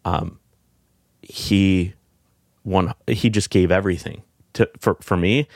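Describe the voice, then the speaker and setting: A middle-aged man with a deep voice, speaking in a reflective, halting way with many fillers and restarts, as a guest in a casual heartfelt conversation. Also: creaky, a little mellow, dry recording, clean studio sound. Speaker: podcast guest.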